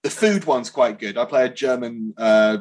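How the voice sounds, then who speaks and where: Young british guy, chatty and self-mocking, podcast guest, mid pitch. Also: normal speed, darkish tone. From Britain. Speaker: guest actor. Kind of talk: casual podcast chat.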